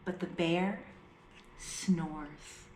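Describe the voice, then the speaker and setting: A woman reading a picture book aloud to young children, with deliberate, dramatized phrasing and drawn-out words. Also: fairly low pitch, steady tone, darkish tone, a little hiss or hum. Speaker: children's storyteller. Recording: echoey room.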